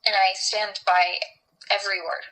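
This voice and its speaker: An actress playing a weary but steady, forthright researcher in an audio horror drama, measured and deliberate, with sighs and pauses. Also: female voice, mid-range voice, poor audio. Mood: candid, measured.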